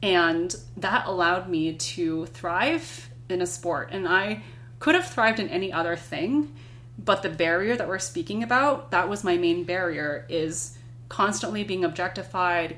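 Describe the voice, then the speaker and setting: A non-binary person in their thirties, a podcast guest, speaks earnestly and reflectively, telling a personal story in a measured way. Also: mid-range voice, pushed and bright. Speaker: podcast guest, climbing guide. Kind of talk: conversational interview.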